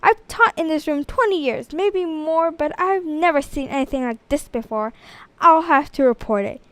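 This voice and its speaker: A woman's voice: sits high, moderate pace, no echo, lightly acted. A woman voicing an exasperated, scolding schoolteacher, emphatic and indignant, in a children's storybook reading.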